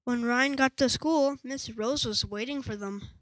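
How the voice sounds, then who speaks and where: A woman's voice: on the high side, unhurried, fairly bright tone, no room sound, poor audio. A female narrator reading a scripted story for school readers' theatre.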